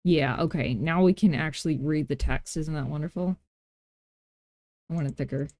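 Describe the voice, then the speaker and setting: A woman hosting a software tutorial in a casual, playful way, thinking aloud and tossing in self-deprecating asides as she works. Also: sits low, moderate pace. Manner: informal thinking aloud while working. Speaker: tutorial host. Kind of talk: casual screen-recorded software tutorial narration.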